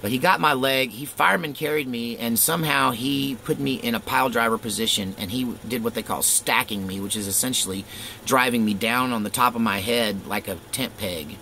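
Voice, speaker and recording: A middle-aged man casually telling a rambling personal story, animated and full of fillers and chuckles. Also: mid-range voice, brisk, vocal fry, slight background noise.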